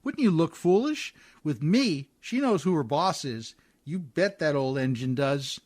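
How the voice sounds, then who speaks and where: A man's voice: on the high side, expressive, a little slow, darkish tone. A man playing a scrappy, good-hearted riverboat captain in an old-time radio drama, with folksy, ungrammatical working-man speech. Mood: firm, coaxing.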